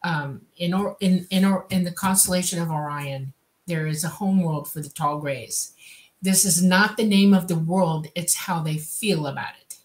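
A woman's voice: fairly low pitch, moderate pace. An older woman with a Swedish accent, a native Swedish speaker, co-hosting and chatting casually over a video call. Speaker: co-host. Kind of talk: casual interview talk.

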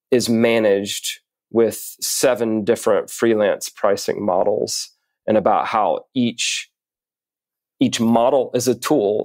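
A man speaking as an interview guest, explaining in a measured, articulate way with deliberate pauses. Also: medium pitch, no echo. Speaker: podcast guest, writer and coach.

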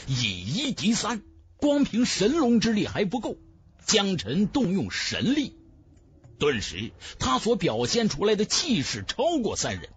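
A male audiobook narrator tells a fantasy tale with theatrical emphasis. His pitch sits high and swings widely, and faint music plays underneath. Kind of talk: dramatic scripted narration of a fantasy novel.

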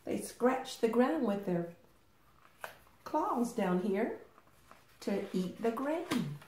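A woman in her sixties reads a picture book aloud as a storytime host. Her delivery is extremely unhurried and melodic, phrased carefully for small children. It is recorded in an echoey living room. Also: fairly high pitch. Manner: deliberate, expressive, sing-song phrasing for small children. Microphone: camera a short distance away. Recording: roomy home recording.

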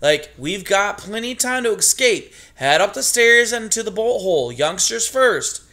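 A man voicing a female mouse who leads a band, speaking in relaxed slang and staying calm in a crisis. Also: high-pitched, normal speed, pitched above the performer's own voice, full character voice. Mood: calm commanding.

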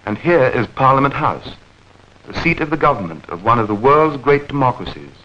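A man narrating a 1940s educational newsreel in a measured, clearly enunciated, explanatory manner. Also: medium pitch, very animated, pushed and bright. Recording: dull vintage 1940s film soundtrack.

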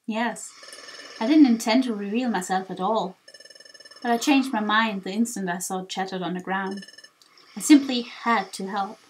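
A woman voicing a gentle, solemn sea creature who guards secrets in a video game, speaking formally and gravely. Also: medium pitch, normal speed, a little hiss or hum, voice pushed down, close to an everyday voice, low-quality recording. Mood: calm, formal.

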